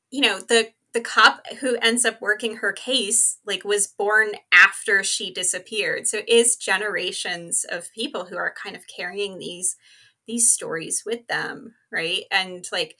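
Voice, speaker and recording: A woman of medium pitch with a bright, crisp-edged tone talks as a guest on a podcast. Her delivery is informal and thoughtful. She checks in with small conversational tags as she goes. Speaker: podcast guest and co-host of another true crime show. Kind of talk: casual conversational interview talk.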